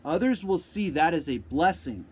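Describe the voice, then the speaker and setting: A man giving an unscripted motivational talk, speaking slowly and deliberately with repeated phrases, answering a viewer's question. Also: on the high side, lively intonation, a little mellow, slightly rough. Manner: slow, deliberate, repetitive phrasing.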